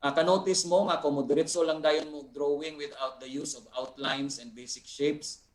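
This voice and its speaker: A man's voice: on the high side, steady tone. Echoey Visayan Filipino man teaching. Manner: deliberate, step-by-step, with tag questions. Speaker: art teacher, guest speaker. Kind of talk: explanatory teaching, unscripted. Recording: roomy, echoing sound.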